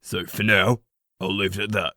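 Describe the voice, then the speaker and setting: A man acts out a determined, troubled leader of a pack in a fantasy audio drama. His voice is on the low side and recorded dry and close, with formal, earnest, commanding phrasing. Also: level tone, moderate pace, pushed and bright, no room sound. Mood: resolute.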